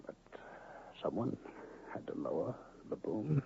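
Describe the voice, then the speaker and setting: A gravelly weary old gambler-doctor. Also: male voice, lowish voice, very animated, unhurried, background noise, exaggerated voice. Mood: dying. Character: weary honest gambler doctor, older man, gentle. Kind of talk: 1970s radio drama acting. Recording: tinny lo-fi old radio broadcast.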